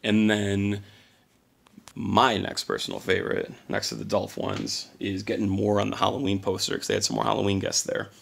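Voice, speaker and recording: A man with a hoarse voice hosting a casual collector vlog, talking keenly but a little quietly. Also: fairly low pitch, completely flat delivery, normal speed. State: hoarse from singing and little sleep. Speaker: channel host.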